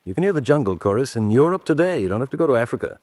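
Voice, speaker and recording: A man's voice: medium pitch, sing-song, rapid-fire, darkish tone, no room sound, close to an everyday voice. Man playing intense spy hunter, dramatically swooping delivery. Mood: brooding. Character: intense, sharp-minded British male adventurer hunting spies. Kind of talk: old-time radio drama acting.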